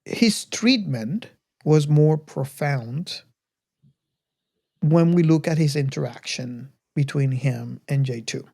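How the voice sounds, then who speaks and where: A podcast host speaking casually in a halting way, often restarting sentences, while leading a film discussion. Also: medium pitch, creaky, a little mellow, slightly rough, no room sound, studio quality.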